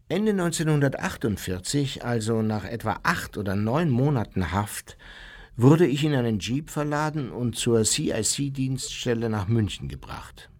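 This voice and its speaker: An actor playing an unrepentant Nazi war criminal recounting his past in a measured, formal, matter-of-fact way for a radio play. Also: mid-range voice, darkish tone, breathy edge. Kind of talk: radio play first-person memoir narration.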